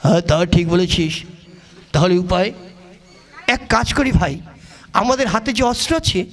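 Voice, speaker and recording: A man's voice: sits high, expressive, moderate pace, pitched below the performer's own voice. A man voicing crude, cocky, superstitious bandits in a comic puppet play. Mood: scheming.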